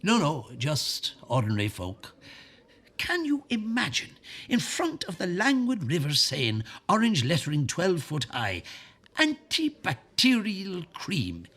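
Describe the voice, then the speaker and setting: An actor playing a wry, talkative Irish novelist in a radio drama, slightly husky and rambling in an unhurried, teasing way. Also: male voice, high-pitched, huge pitch swings, a bit bright, full character voice. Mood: chatty, relishing.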